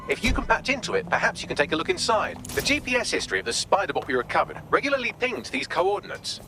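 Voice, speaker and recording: Sardonic AI helper, video game, witty, animated intonation, articulate, music underneath. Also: male voice, high voice, creaky, full character voice. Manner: dry, wry, sarcastic, articulate. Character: witty, sardonic male AI assistant.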